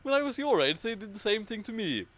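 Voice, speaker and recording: An earnest elf hero from a fantasy storybook, as a man plays him. The voice sits fairly high and moves around a lot in pitch. He sounds urgent and sincere. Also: sing-song, quick pace, a bit bright.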